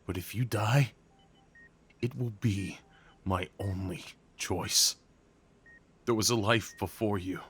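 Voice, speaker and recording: A man playing a devoted, desperate crime boss at his lover's bedside in an intimate audio roleplay, speaking in a halting, emotional way. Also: lowish voice, a bit bright, breathy edge, exaggerated voice. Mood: bitter, vengeful, then broken, pleading. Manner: slow, halting, emotional, pleading delivery.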